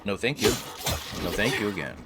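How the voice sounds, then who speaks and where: A man's voice: on the low side, big pitch swings, fairly quick. A man hosting a gaming video with casual, joking commentary and wry, playful banter. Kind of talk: casual joking let's play commentary, reading game text aloud.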